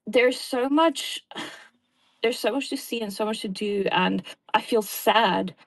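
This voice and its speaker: A woman's voice: mid-range voice, dry recording. A woman with a South African accent in casual chat, earnestly explaining things at length. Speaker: guest.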